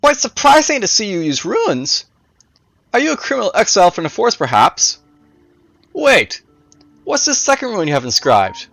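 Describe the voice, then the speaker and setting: A man voicing a curious, menacing necromancer who muses aloud in formal phrases, in a raspy storybook villain voice. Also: high-pitched, huge pitch swings, a bit bright, no room sound, soft background music, voice pushed up, exaggerated voice. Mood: intrigued. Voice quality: raspy, wheezy. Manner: musing, formal, drawn-out exclamations.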